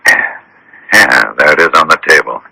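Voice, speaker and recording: An extremely low, gravelly male voice, recorded dry. a plain-spoken, laconic sidekick in a radio mystery, gruff but easygoing. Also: measured pace, bright, forceful tone, dry recording, heavily acted, poor audio. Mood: wry, laconic.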